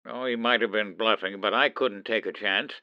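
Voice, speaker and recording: A man's voice: on the low side, steady tone, normal speed, no echo, lo-fi sound, close to an everyday voice. A man playing an authoritative, protective middle-aged father and officer in an old-time radio drama, urgent and theatrical. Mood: alarmed. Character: commanding, protective father, suspicious military officer.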